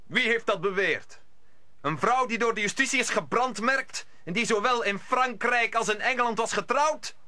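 A defiant young musketeer hero in a radio drama. The voice is high for a man and bright, with emphatic, declamatory delivery. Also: normal speed, dry recording, exaggerated voice. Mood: indignant. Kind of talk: Dutch radio play acting.

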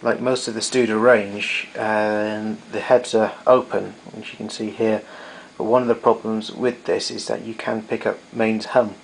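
A man's voice: on the low side, little pitch movement, a little slow, gravelly, few pauses, slight background noise, rough recording. A man giving an unscripted technical demonstration in a matter-of-fact, somewhat hesitant way. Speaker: demonstrator of vintage tape recorder.

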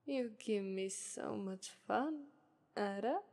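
A woman's voice: mid-range voice, darkish tone. A woman voicing an arrogant, seductive female demon of lust in a whispered ASMR roleplay, with condescending, teasing taunts. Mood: smug. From Italy. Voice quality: breathy whisper, slow and teasing. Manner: condescending, purring "ara ara" taunts. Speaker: voice actress.

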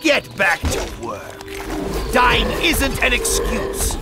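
A man's voice: extremely high pitch, huge pitch swings, slow, creaky, heavily acted. An actor playing a sneering, cruel male slave driver in a fantasy game, with a taunting drawl. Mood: cruel, mocking. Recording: loud music under the voice.

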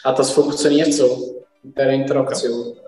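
A man's voice: mid-range voice, level tone, normal speed. A man in his forties with a Swiss German accent, asking questions as a casual interview host. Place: indoor martial arts training room. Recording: echoey room reverb, music behind.

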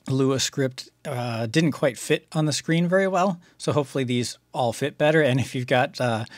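A man's voice: medium pitch, dry recording. Middle-aged American man, dry close sound. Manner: conversational, explanatory. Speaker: video tutorial host. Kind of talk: casual step-by-step tutorial.

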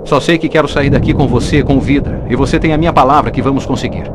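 A man's voice: mid-range voice, fast talker, close to an everyday voice. Male actor as wry, resolute Brazilian investigator for a game dub, mid-range voice, heavy background noise. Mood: wry, determined. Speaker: video game protagonist. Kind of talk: dubbed video game acting. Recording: loud background noise and hum.

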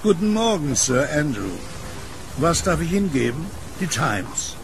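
Blind kiosk vendor, war veteran: gentle, lively range, gravelly edge, noisy outdoor ambience, horror radio play. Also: male voice, fairly high pitch, measured pace. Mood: friendly. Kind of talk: audio drama acting.

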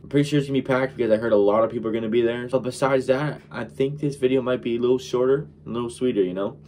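A young man vlogging casually from his bedroom, hoarse after a night out, talking in a laid-back, slangy, rambling way. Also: mid-range voice, steady tone, rapid-fire, darkish tone, slight background noise. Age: young adult. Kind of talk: casual vlog talk to camera. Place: bedroom, indoors. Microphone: phone held at arm's length.

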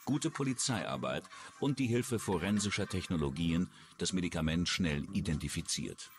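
Low-voiced male documentary narrator. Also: animated, normal speed. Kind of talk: scripted true-crime documentary narration. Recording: music behind the voice. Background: loud background music.

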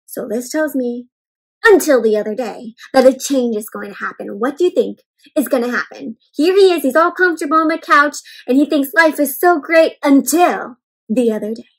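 A young woman doing an interactive read-aloud for children, exclaiming and putting questions to her young listeners in an animated way. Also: high-pitched, normal speed, husky. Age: young adult. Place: indoor room with bookshelves.

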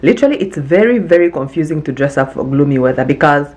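A woman's voice: deep voice, animated, quick pace, darkish tone. A woman in her thirties from Tanzania, chatting casually and informally to camera as a vlogger. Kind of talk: casual vlog chat to camera. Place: home interior, open living room and kitchen. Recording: roomy echo, music underneath.

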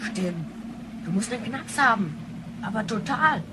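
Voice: medium pitch, animated, measured pace, background noise, natural voice. A young performer playing a cheeky grandchild from the future in a science-fiction radio play, slangy and scornful. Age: child or young teenager. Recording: music behind the voice.

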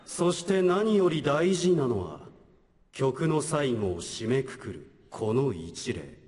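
A grandiloquent young prosecutor in a staged courtroom comedy. He is formal and haughty, with dramatic swings of pitch in a reverberant concert hall. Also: big pitch swings, takes it slowly, a little mellow, slight background noise. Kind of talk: staged comic courtroom skit.

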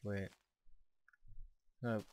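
A man with a hoarse, fading voice, chatting casually while playing a co-op game, often hesitant and stumbling over his words. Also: extremely slow, crowd noise. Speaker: co-op game player. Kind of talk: casual gameplay chat.

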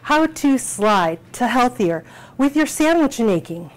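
A middle-aged woman hosting a cooking show from a small home kitchen, explaining each step in an encouraging, unhurried way. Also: on the high side, big pitch swings, soft background music, low-quality recording.

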